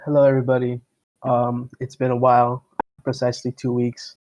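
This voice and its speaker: A man's voice: mid-range voice, monotone, fairly quick, a little mellow, poor audio. A young man with a Miami accent, co-hosting a podcast in a loose, rambling, profane way. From Miami, United States. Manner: rambling, profane, self-deprecating. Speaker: podcast co-host. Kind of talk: casual chat.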